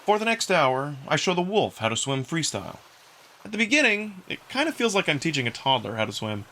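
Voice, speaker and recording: A man reading scripted first-person narration from a visual novel in an expressive storytelling manner. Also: a little high, a little fast, slight background noise. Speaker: narrator and voice performer.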